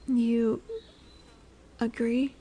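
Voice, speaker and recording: Woman as a high-voiced, slow-speaking dominant lamia. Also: level tone, dark tone, a little hiss or hum. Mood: wry, wistful. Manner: deliberate, teasing, soothing, dropping into breathy near-whispers. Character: dominant female lamia, curious, condescending yet nurturing. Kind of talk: slow roleplay audio monologue addressed to the listener. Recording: birdsong and woodland ambience behind. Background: birdsong, forest ambience.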